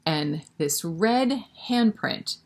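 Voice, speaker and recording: A woman with a slightly low voice leads a story time for young children. She takes her time, reading expressively and pausing to put questions to the listeners and encourage them. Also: animated, gravelly. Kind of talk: animated picture-book read-aloud with asides to children.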